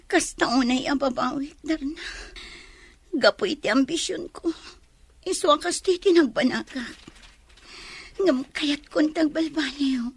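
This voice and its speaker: A woman's voice: sits high, a little slow, long pauses. An actress playing a despairing young pregnant woman full of regret in a radio drama, with a plaintive manner. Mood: regretful.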